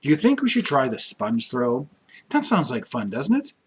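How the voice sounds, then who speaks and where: A man's voice: mid-range voice, moderate pace, breathy edge, full character voice. A man voicing a patient mother in a storybook, speaking in a soothing, coaxing manner. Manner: calming, coaxing.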